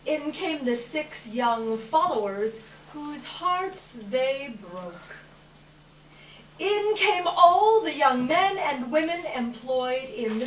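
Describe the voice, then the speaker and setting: Slow, high-voiced woman storyteller, echoey hall. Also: fairly bright tone. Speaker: narrator in a readers theater. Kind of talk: dramatic scripted storytelling, live stage reading. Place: live event room, distant microphone. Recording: echoey hall from far off, faint noise.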